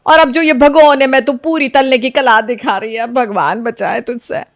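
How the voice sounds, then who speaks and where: A woman's voice: sits high, moderate pace, no echo. An exasperated older mother-in-law from a family storybook , as a woman narrator plays her. She berates and laments like a worn-out head of the household, in a dry, close recording. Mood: scolding, outraged.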